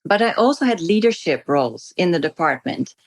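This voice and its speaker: A middle-aged woman from the Netherlands, a native Dutch speaker, answering interview questions as a physician guest in articulate, measured phrases. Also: lowish voice, very animated, no room sound.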